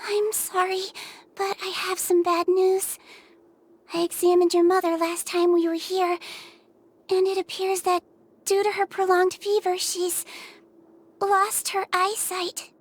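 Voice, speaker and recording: A woman voicing a gentle young female melusine nurse in a game, speaking softly with calm reassurance. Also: extremely high pitch, a little slow, pauses often. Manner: soft, calm and kindly reassuring. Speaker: head nurse. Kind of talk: game cutscene voice acting.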